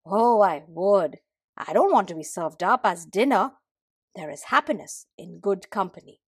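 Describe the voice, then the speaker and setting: A woman's voice: mid-range voice, expressive, normal speed, slightly rough, no room sound, clean studio sound. A woman voicing a companionable, practical pig in a folktale, blunt and emphatic. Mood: curious, agreeable.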